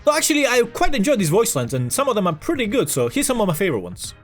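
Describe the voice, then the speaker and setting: A man's voice: high-pitched, huge pitch swings, fast talker, faint music behind. A man hosting a scripted esports news video in a casual, sarcastic, jokey way with some swearing. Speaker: video host.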